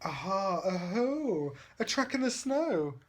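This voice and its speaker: A man's voice: high voice, big pitch swings, takes it slowly, exaggerated voice. A man voicing a young female monster child, curious and bold, exclaiming and questioning in a children's storybook. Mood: excited.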